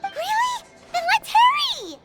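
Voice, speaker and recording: A woman voicing a small, excitable, childlike fairy companion in a video game, squeaky and wide-eyed. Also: slow pace, heavily acted. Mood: excited, amazed. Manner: exclamatory, wide-eyed, naive. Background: game music.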